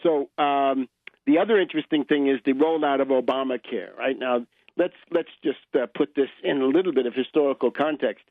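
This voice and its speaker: A man's voice: medium pitch, fairly quick, no echo. Older American man on a phone-like line. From United States. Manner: polemical, didactic, punctuated with rhetorical tags like "right?". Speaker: radio commentator and host. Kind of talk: unscripted political commentary. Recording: narrow phone-like line, dry.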